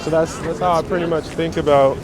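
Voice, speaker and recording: A man's voice: fairly high pitch, steady tone, a little fast, music in the background, a crowd in the background. A man, giving casual, reflective and slangy interview answers as a photographer. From Brooklyn, New York. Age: 20s. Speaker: street photographer being interviewed. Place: outdoors on a city street. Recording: noisy outdoor city street with traffic. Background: urban street ambience, vehicles.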